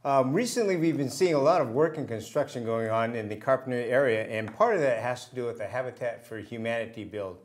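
A man's voice: medium pitch, fairly quick, few pauses. An older man hosting a community TV interview in a studio, asking questions with short friendly affirmations. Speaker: TV show host. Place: small TV studio.

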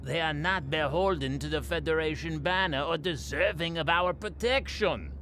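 A man's voice: a little high, normal speed, pushed and bright, a little hiss or hum. An actor playing a bored, haughty alien military commander in his fifties, weary and disdainful, in a science-fiction audio drama. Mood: scornful. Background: music.

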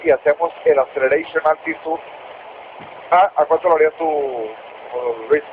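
A man's voice: fairly high pitch, fairly even intonation, normal speed. A Venezuelan man, an airline pilot talking in the cockpit and making radio calls. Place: aircraft cockpit. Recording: muffled phone-like cockpit recorder, clear noise. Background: cockpit noise.

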